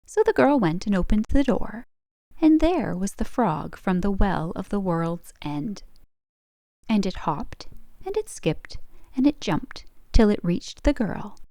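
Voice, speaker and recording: A woman's voice: mid-range voice, very animated, a little slow, dark tone, no echo, clean studio sound. A woman reading a scripted folk tale as a storyteller, in storybook narration style. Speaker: storyteller, channel host.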